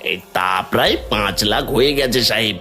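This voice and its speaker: A man's voice: fairly low pitch, normal speed, over music, natural voice. A man playing a sly, servile merchant swindler in a cartoon, with an ingratiating, scheming way of talking. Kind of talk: cartoon villain dialogue.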